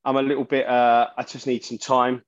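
A man, a musician guest in an interview, talking haltingly and often restarting his sentences. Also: medium pitch, flat delivery. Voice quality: loud voice. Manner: halting, searching for words, many restarts. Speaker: musician guest, bassist and singer. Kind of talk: casual interview talk. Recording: dull lo-fi sound.

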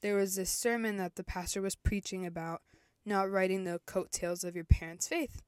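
A woman's voice: mid-range voice, steady tone, moderate pace, darkish tone, smooth, dry recording, clean studio sound. A woman in her early twenties from El Salvador, hosting her own podcast and telling her story in a chatty, candid way that often corrects itself. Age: 20s. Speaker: podcast host. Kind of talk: casual faith podcast monologue.

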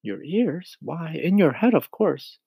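A small, polite boy with a raised, lively voice, put on by a man reading a storybook. He is wide-eyed and earnest, eagerly curious about everything. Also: fairly high pitch, slow pace, vocal fry, husky, no echo, pitched above the performer's own voice, heavily acted. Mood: puzzled disbelief.

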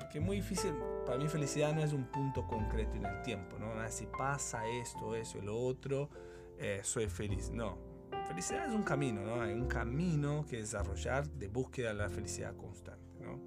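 A Brazilian man in his forties speaking Spanish with a Brazilian Portuguese accent, a company manager reflecting in a relaxed interview, often adding "¿no?". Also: medium pitch, a little fast. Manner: warm, reflective, often adds "¿no?". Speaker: guest, company general manager. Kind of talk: casual interview chat. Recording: podcast with guitar music behind.

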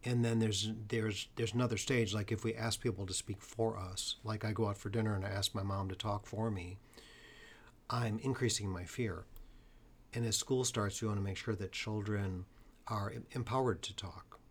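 A man's voice: fairly low pitch, fairly even intonation, moderate pace. A man hosting a podcast conversation, earnest, with an occasional stutter. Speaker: podcast host.

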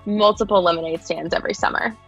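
A woman's voice: mid-range voice, fairly even intonation, quick pace, husky, highs cut off, faint music behind. A woman who works as a health and wellness coach, co-hosting a podcast teaser in an upbeat manner, stretching out key words.

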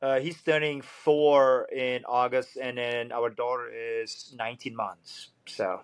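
Guest coach, earnest, level-toned, dry sound. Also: male voice, mid-range voice, level tone, takes it slowly, clear, resonant tone, no room sound. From Indonesia. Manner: earnest, searching for words. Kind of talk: casual interview.

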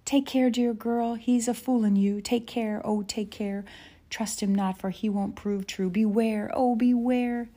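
A woman's voice: fairly low pitch, wildly swooping pitch, a little fast, few pauses, mellow, husky, exaggerated voice. A woman voicing a boisterous, carefree prairie girl who sings and whoops in a storybook. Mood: playful singing. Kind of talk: storybook character voice.

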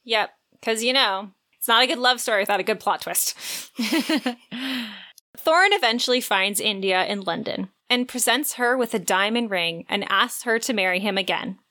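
A woman's voice: medium pitch, moderate pace, bright, forceful tone. A woman co-hosting a podcast, retelling a story in a playful, informal way. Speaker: podcast co-host. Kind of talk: lively retelling of a romance novel plot.

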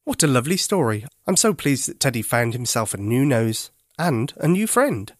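A children's podcast host narrating a bedtime story in a gentle, carefully enunciated, expressive way. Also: medium pitch, moderate pace, dry recording, clean studio sound.

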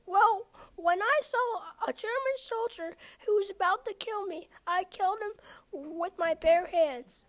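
A performer voicing a woman wartime spy as a podcast guest in an amateur scripted interview, reading aloud haltingly with small stumbles. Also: extremely high pitch, a bit bright, no echo. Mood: earnest recounting.

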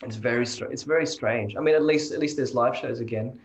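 A man's voice: medium pitch, fairly quick, highs cut off. Australian guy in a band, easygoing, stop-start talker, roomy echo on the audio. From Sydney, Australia. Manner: hesitant, repeats words, laughs often. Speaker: band member guest. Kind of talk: casual interview chat. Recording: roomy echoey sound.

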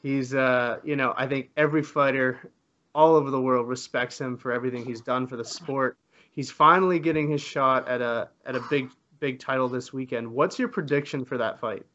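Male interviewer, casual, a bit halting, mid pitch, muffled lo-fi sound. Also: steady tone, pushed and bright, slight background noise. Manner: conversational, halting with fillers.

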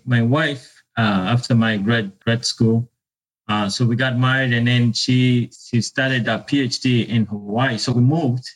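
A man's voice: mid-range voice, low-quality recording. A man in his thirties from Uganda with a Ugandan accent, a business owner telling his story in an interview, hesitant, with many fillers and repeated words. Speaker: beverage business owner, guest.

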